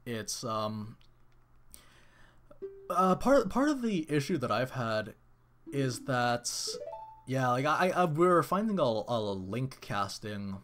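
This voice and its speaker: A man chatting casually to viewers on a live game stream, excitable and rambling, with many restarts. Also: mid-range voice, takes it slowly, pauses often, faint music behind. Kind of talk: casual live-stream chat while playing.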